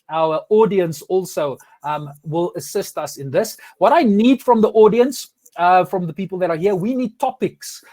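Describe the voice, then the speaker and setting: Man, thirties, Afrikaans accent, high voice, energetic host, video call from home. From South Africa. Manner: energetic, many restarts and fillers. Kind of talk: casual interview over video call. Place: home room with curtains.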